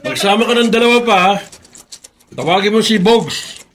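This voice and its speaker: Radio drama boss: engineer, commanding, short-tempered, high male register. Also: animated, moderate pace, fairly bright tone, a little hiss or hum. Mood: impatient, commanding. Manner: commanding, impatient. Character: bossy, irritable male construction site engineer. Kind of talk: Filipino radio drama acting.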